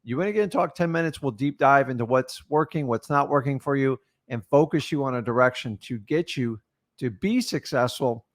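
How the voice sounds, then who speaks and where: Man, mid-range voice, calm, encouraging, casual co-host. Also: mid-range voice, fairly quick. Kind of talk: casual chat.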